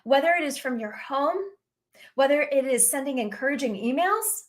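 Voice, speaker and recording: A woman's voice: sits high, expressive, normal speed. A woman in her forties hosting a faith-based talk show, speaking earnestly with many rhetorical questions. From United States. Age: 40s. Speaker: radio show host.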